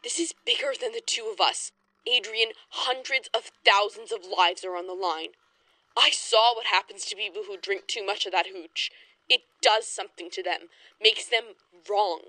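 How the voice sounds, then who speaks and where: An actress as a determined woman researcher in a 1920s horror audio drama. Her voice is bright and forceful, recorded close and dry. She is blunt, defiant and urgent. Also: medium pitch, moderate pace, no echo, natural voice, poor audio. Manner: blunt, emphatic, sweary.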